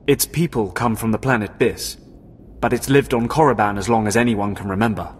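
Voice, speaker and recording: A man's voice: lowish voice, fairly even intonation, a little fast, a little hiss or hum, natural voice. A man playing a curt, sinister Sith beast trainer in a video game, with a brusque, brooding delivery. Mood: confiding, grim. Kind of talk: video game dialogue acting.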